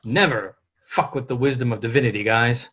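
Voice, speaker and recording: A man's voice: medium pitch, a little fast, a bit bright, natural voice. An actor playing a cocky, foul-mouthed young French king who gloats and swaggers like a stage showman, over a lo-fi online call. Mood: smug, triumphant. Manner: boastful, indignant, swaggering. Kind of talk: theatrical comic monologue in a table read. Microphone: video call.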